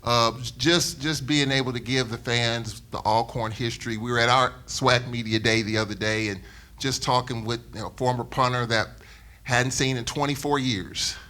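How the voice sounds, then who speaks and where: A middle-aged man talks on a panel in a bright, forceful voice at an ordinary male pitch. He is a sports broadcaster speaking off the cuff, leaning on repeated phrases to drive things home. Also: steady tone, normal speed. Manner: emphatic, repeats phrases for emphasis. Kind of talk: unscripted panel talk.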